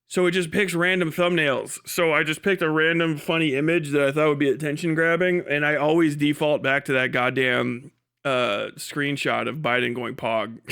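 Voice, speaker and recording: Guy streamer just chatting, kinda high bright voice, swears a lot, sardonic and over it. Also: clean tone. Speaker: livestream host. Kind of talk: casual livestream chat.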